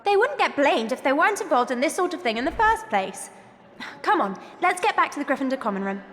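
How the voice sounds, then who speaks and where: A woman with an English accent playing a brisk, sensible, prim teenage witch in a video game, in reverberant sound. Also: high voice, sing-song, fairly bright tone. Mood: reproving. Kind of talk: video game cutscene dialogue. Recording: hall-like reverb under music.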